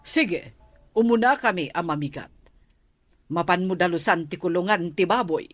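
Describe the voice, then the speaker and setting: A woman's voice: lowish voice, moderate pace, a bit bright, faint music behind. A woman playing a harsh, accusing aunt in a radio drama, scolding and commanding, with an Ilocano Filipino accent. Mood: bossy.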